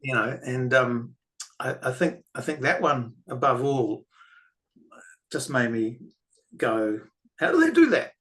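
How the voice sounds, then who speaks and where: A man's voice: mid-range voice, sing-song, a bit bright. Reflective older New Zealand man. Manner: reflective, unhurried storyteller. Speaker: guest filmmaker and author. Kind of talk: podcast interview.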